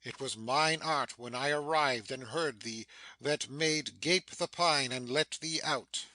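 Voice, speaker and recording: A man playing a stern, commanding sorcerer master in a dramatic Shakespeare reading, declamatory and quick to scold. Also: mid-range voice, sharp tone, dry recording, low-quality recording. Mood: stern recounting. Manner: declamatory, imperious.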